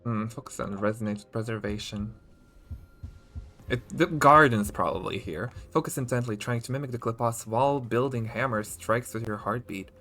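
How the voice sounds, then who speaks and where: A man's voice: mid-range voice, moderate pace, pushed and bright. A male streamer reading game story text aloud, with casual asides.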